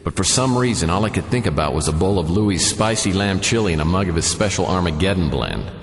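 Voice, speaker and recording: An actor playing a wisecracking hard-boiled detective who narrates in a dry, sardonic noir style. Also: male voice, deep voice, animated, fast talker, slight background noise. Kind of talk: noir radio drama narration. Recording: reverberant with music behind.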